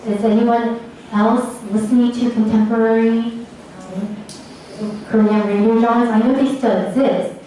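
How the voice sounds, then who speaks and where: A woman lecturer answering audience questions in a tentative, halting, thinking-aloud way. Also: fairly high pitch, steady tone, dark tone. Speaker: lecturer presenting research on radio dramas. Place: echoey indoor lecture room. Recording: echoey room, distant mic, faint noise.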